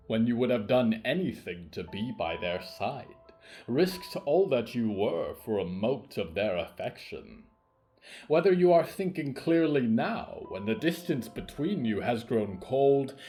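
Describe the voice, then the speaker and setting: A man playing a wistful, otherworldly storytelling host, delivering a measured, melancholy monologue. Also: fairly low pitch, a little mellow. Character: Nicky Nick, wistful otherworldly host addressing dreamers. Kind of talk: scripted dramatic monologue.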